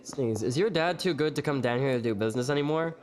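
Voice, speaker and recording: A man's voice: mid-range voice, fast, close to an everyday voice. A man acting as a brash, teasing, flamboyant young waiter with a sarcastic streak in an audio drama. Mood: sardonic, impatient. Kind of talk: audio drama acting with first-person narration. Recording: faint music behind the voice.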